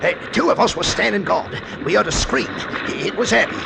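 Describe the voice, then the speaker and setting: An anxious, deferential bosun in an old radio play. His voice is high and heavily creaky, urgent and halting, over noisy ship ambience. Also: expressive, heavily acted. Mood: panicked, urgent. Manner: urgent, stammering. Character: alarmed, deferential ship's bosun reporting trouble. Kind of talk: old-time radio drama acting.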